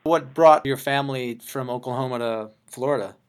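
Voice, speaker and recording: A man's voice: mid-range voice, normal speed, smooth. Hesitant male interviewer. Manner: hesitant, with frequent uh fillers between questions. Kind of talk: interview questions.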